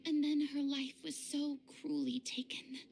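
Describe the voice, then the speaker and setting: An actress playing a grandiose, proud young former stage star in a video game, speaking in formal, dramatic monologues. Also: female voice, sits high, level tone, measured pace, vocal fry, darkish tone, faint music behind. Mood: solemn, sympathetic.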